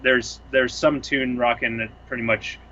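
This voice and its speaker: Bright-voiced young man, rambling chat. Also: mid-range voice, flat delivery, normal speed, muffled recording, slight background noise. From Seattle area, US Pacific Northwest. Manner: rambling, thoughtful, full of fillers. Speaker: guest, music producer. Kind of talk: casual chat. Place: home, on a couch.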